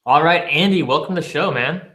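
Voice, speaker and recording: Bright-voiced laid-back male podcast host. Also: a little high, a little fast. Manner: easygoing, laid-back, says "man" a lot. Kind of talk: casual interview.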